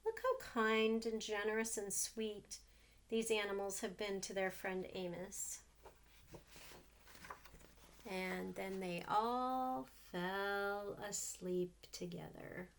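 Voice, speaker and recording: A woman reading a picture book aloud to children, gently pausing to ask them questions about the pictures. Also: mid-range voice, a little hiss or hum.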